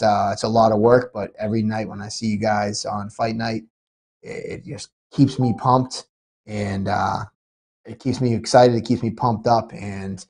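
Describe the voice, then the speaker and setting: Promoter guy on a podcast, kinda sniffly from being sick, gets hyped and rambles, average pitch. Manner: excitable, rambling, frequent restarts. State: sniffly, getting over a cold. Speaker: MMA promoter. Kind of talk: casual podcast chat.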